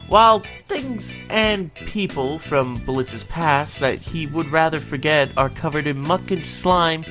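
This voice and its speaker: A woman's voice: deep voice, fairly bright tone. A woman co-hosting a fan recap, giving semi-scripted episode commentary in an emphatic, theatrical way.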